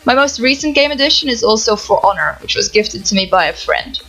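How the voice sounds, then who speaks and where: A young woman from the Netherlands with a Dutch accent, calmly reading out answers to viewer questions for her gaming channel. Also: fairly high pitch, a little fast, faint music behind, low-quality recording. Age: young adult. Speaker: gaming YouTuber. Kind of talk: reading Q&A answers to camera, no face cam. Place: home setup, voice-over without camera.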